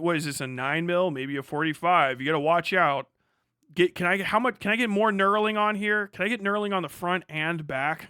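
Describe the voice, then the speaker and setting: Animated, bright-voiced man ranting with heavy swearing. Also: fairly high pitch, clean tone, no echo, clean studio sound. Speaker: livestream host reacting to videos. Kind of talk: casual profane livestream commentary.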